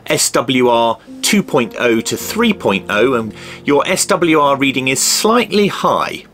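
A man's voice: mid-range voice, very animated, unhurried, fairly bright tone, faint music behind. A middle-aged man presenting a casual hobby tutorial, explaining step by step in a hesitant way.

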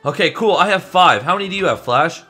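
A man's voice: high voice, quick pace, breathy edge, soft background music, full character voice. A young man playing a friendly, eager superhero in a casual, chatty, improvised video game roleplay. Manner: chatty, excitable, buddy-like.

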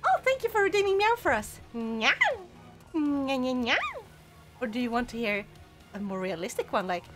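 A woman's voice: fairly high pitch, lively intonation, normal speed, loud music behind the voice. A young woman from Sweden with a Swedish accent mixed with British touches, chatting casually while streaming a game and often laughing. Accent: Swedish with British, Scottish and Irish touches. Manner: giggly, often breaks into laughter. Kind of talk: casual live-stream chat while gaming, reading chat aloud.

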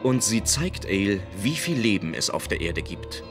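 A man's voice: fairly low pitch, very animated, moderate pace, vocal fry. A man reading scripted narration for an audio drama. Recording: loud music under the voice.

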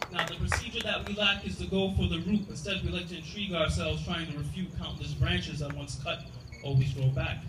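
A man giving a spoken word poetry reading with a rhythmic, rhyming delivery. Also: fairly high pitch. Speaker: poet. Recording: echoey room from far off, loud noise.

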